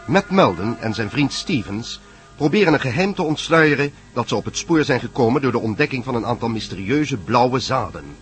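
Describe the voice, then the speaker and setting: Male narrator, medium pitch, scripted radio serial recap, clear music underneath. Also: animated, moderate pace.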